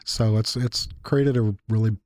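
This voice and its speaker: A man's voice: fairly low pitch, fairly even intonation, a little slow, darkish tone, no room sound. A man co-hosting a casual chat, talking in a reflective, thoughtful way. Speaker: university lecturer and podcast co-host.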